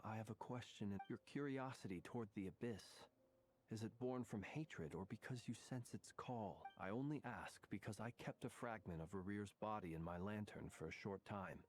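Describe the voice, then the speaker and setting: Guy doing a weary mysterious game character, low voice, formal and grave but polite. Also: lightly acted. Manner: formal, measured phrasing, gently grave. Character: solemn, courteous, world-weary mysterious man. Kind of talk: video game voice acting.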